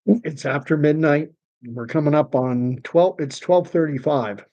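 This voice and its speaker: A middle-aged man hosting a late-night livestream, rambling and opinionated as he reads and answers viewer chat, his voice tired and getting hoarse. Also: fairly high pitch, a little slow, mellow, dull audio. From Canada. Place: home streaming setup.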